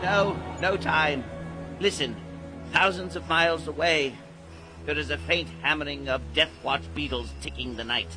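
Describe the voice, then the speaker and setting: An actor playing an eerie, theatrical guide to boys on Halloween night, declaiming in a dramatized audiobook. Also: male voice, a little high, fairly even intonation, moderate pace, over music, natural voice. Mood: ominous, urgent. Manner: declamatory, incantatory, commanding.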